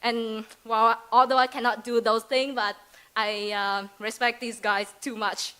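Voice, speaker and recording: A young woman from Vietnam speaks English with a Vietnamese accent, giving a student wrap-up presentation. Her voice is high, bright and very clear. She talks in a chatty, hesitant way with many fillers and small giggles. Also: little pitch movement, a little slow. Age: young adult. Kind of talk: presentation speech.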